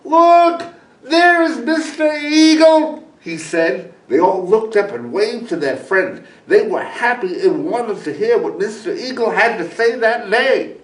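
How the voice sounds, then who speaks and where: Slow, animated male storyteller in echoey room. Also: high-pitched. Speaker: guest storyteller. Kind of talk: animated children's story reading. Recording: echoey room, distant microphone.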